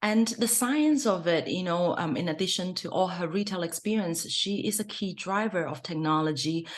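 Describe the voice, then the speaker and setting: A woman's voice: lowish voice, a bit bright. A woman hosting an interview, introducing her guest and asking questions, with some fillers and slightly unusual phrasing. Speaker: host, consulting founder. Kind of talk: hosted interview.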